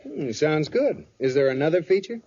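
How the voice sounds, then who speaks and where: An animated, fussing radio sitcom husband. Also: male voice, a little high, normal speed, husky. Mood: relaxed. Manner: earnest, hesitant, fussing. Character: solicitous, easily worried middle-class husband. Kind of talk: 1950s radio sitcom acting. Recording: muffled old radio recording, live audience.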